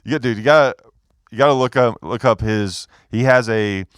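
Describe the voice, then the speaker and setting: A man who is a guest comedian on a podcast, telling profane, animated anecdotes in casual chat. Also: mid-range voice, normal speed, no room sound, studio quality.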